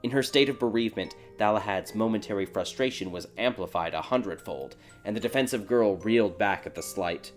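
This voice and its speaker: A man narrating a dark fairy tale audiobook in a dramatic, scripted storytelling style. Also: fairly low pitch, fairly quick, hardly any pauses. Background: background music.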